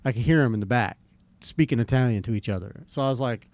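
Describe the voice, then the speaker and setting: A man's voice: mid-range voice, darkish tone, no echo. A man from Jacksonville, hosting a podcast in casual, rambling chat. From Jacksonville, Florida. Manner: rambling, self-described redneck, drawn-out storytelling. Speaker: podcast host.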